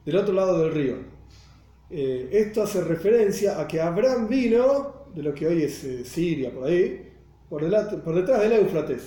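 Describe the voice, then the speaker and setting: A man's voice: high-pitched, very animated, normal speed, warm tone. Buenos Aires man teaching in a roomy space. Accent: Buenos Aires Spanish. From Argentina. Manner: explanatory, asks rhetorical questions, checks listeners follow. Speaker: Torah teacher. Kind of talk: religious class lecture. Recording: roomy echo.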